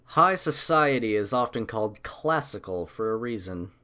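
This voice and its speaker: Low-voiced man narrating a story. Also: expressive, moderate pace, pushed and bright, clean tone. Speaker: narrator reading a fan story. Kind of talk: scripted audiobook narration.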